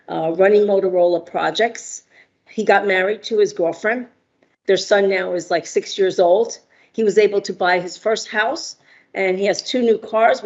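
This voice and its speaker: A middle-aged woman from Argentina talks as a guest entrepreneur in a mid-pitched, friendly voice. She pauses often with ums and uhs. The audio is dull and lo-fi, as over a video call. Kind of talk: prepared remarks with fillers.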